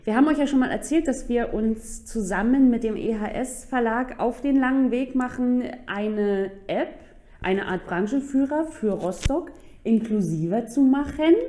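A woman co-hosting a casual podcast, relaxed and quick to laugh, explaining things with a few fillers. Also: on the high side, reverberant. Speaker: podcast co-host. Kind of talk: casual chat. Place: office room.

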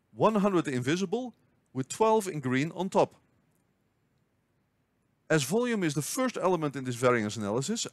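A man narrating a scripted spreadsheet tutorial, explaining step by step in a clear, measured way. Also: a little high, no room sound. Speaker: finance tutorial host. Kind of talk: scripted screen-recording tutorial.

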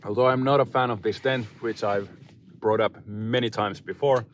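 A man in his thirties chatting casually and reflectively to camera, unhurried, speaking in short phrases with pauses. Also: on the low side, fairly even intonation, a little hiss or hum. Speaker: outdoor vlogger. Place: inside a small tent and outdoors in snowy woods.

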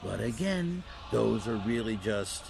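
Kindly, elderly Saint Nicholas male actor for a family audio drama: gravelly, lively, avuncular. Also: fairly low pitch, unhurried, soft background music, heavily acted. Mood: patient, kindly. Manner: avuncular, patient explaining with chuckles and hesitations.

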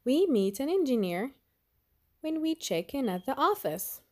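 A woman narrates a picture book for young children. Her voice sits a little high and swings up and down in a lively way. She takes her time, phrasing slowly and deliberately. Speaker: storyteller reading a children's picture book aloud. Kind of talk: expressive read-aloud narration for children.

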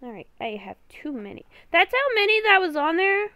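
A woman giving casual gameplay commentary, talking to herself in short, halting phrases. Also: very high-pitched, very animated, pushed and bright, dry recording. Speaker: gamer recording a roleplay series.

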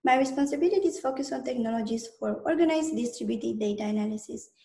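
Woman, from Romania, quick precise delivery, mellow, echoey room. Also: a little high, slight background noise. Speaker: software engineer. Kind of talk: read self-introduction.

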